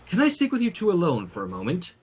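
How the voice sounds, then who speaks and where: Man as earnest, hesitant young pony princess, raised pitch. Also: huge pitch swings, husky, exaggerated voice. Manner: hesitant, deliberate. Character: Twilight, polite, puzzled young female pony princess, earnest schemer.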